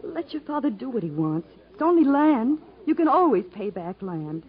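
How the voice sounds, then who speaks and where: An actress playing a devoted, pleading young country wife in a 1950s radio melodrama. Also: female voice, a little high, very animated, moderate pace. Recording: dull 1950s radio broadcast recording.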